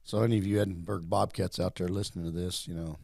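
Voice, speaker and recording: Male interview host, low gravelly voice, meandering friendly delivery. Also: steady tone, fast talker, a little mellow, no room sound. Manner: rambling, repeats words, friendly. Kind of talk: casual interview.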